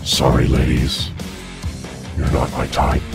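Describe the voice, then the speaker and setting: An actor playing a gruff, cocky flaming-skulled vigilante biker in a fan audio drama. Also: male voice, a bass voice, completely flat delivery, slow pace, loud music behind the voice, full character voice. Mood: taunting. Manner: terse, confident.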